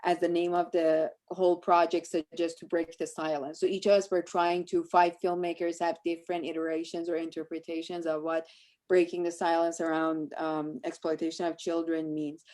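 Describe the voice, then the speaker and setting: A woman's voice: fairly low pitch, monotone, normal speed, a little mellow. A woman from Iran, a native Persian speaker, talking reflectively and conversationally about her work as a guest speaker, searching for her phrasing as she goes.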